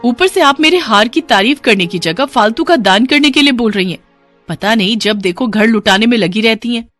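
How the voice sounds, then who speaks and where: Woman acting a shrill, stingy young daughter-in-law. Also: expressive, moderate pace, fairly bright tone, soft background music. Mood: indignant, scornful. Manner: scolding, emphatic, theatrical. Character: stingy, sharp-tongued young Indian daughter-in-law, demanding wife.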